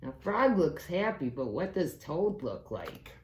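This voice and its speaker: A woman reading a story aloud to young children in an unhurried, expressive way, with casual questioning asides. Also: low-pitched. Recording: roomy echo.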